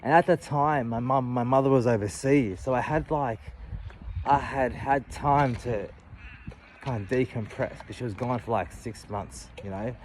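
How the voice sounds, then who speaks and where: A man's voice: mid-range voice, a little hiss or hum. Candid thirty-something man musing aloud. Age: 30s. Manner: candid, halting, many restarts and "you know". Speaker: vlogger. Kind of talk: personal reflective monologue.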